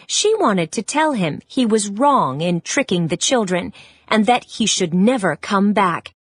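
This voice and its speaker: A woman narrating a children's read-along story in a measured, expressive way. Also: mid-range voice, husky, no echo, studio quality.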